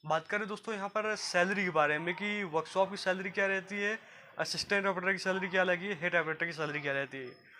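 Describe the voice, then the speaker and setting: A man's voice: high voice, fast, sharp tone, slight background noise. A man from gives an informal, repetitive explainer to viewers as a job guidance presenter. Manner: repetitive, explanatory, often addressing listeners as friends.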